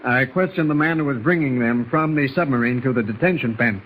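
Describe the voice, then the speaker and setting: A man's voice: medium pitch, fairly quick, natural voice. An actor playing an evasive secret service man in a 1940s radio serial, deferential and reassuring. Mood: placating. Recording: dull, slightly noisy 1940s radio recording.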